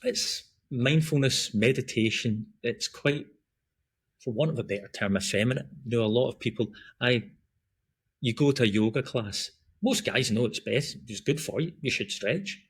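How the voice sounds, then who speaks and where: A man's voice: medium pitch, moderate pace, slightly rough. A middle-aged man from Glasgow with a Scottish accent, chatting as a podcast guest in a rambling, easygoing way. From Scotland. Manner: rambling, chatty, frequent restarts and ums. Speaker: guest, breath work and running coach. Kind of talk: casual podcast conversation.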